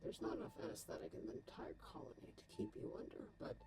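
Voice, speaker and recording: A woman's voice: very deep voice, huge pitch swings, fast talker, gravelly, heavy background noise, exaggerated voice. Dry, clinical male AI scientist acted by a woman. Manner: formal, wordy, precise, detached. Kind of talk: scripted comic dub acting. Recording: music behind the voice.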